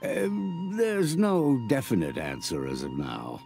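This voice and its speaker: An actor playing an academic sage in a video game, formal, measured, diplomatic and authoritative. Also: male voice, medium pitch, wildly swooping pitch, creaky, darkish tone, soft background music, exaggerated voice. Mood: evasive. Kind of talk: video game cutscene voice acting.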